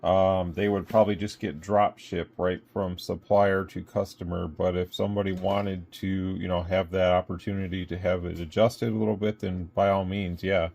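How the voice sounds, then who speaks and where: Low, mellow-voiced man demonstrating gear casually. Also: little pitch movement, moderate pace, vocal fry, few pauses. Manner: rambling, thinking aloud. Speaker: product reviewer and radio seller. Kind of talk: casual unscripted product demonstration.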